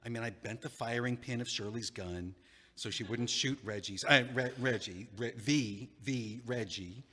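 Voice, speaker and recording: A man's voice: mid-range voice, moderate pace, bright, forceful tone, husky. An anxious young man rambles and stammers, restarting his sentences over and over. His voice sits mid-range and carries a long hallway reverb. This is an audio drama performance. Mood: nervous.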